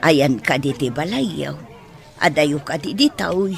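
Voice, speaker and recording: A woman playing a sly, flirtatious, scheming market vendor in an Ilocano radio drama, with an expressive, drawn-out delivery. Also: low voice, vocal fry, a crowd in the background, exaggerated voice. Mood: curious, kindly.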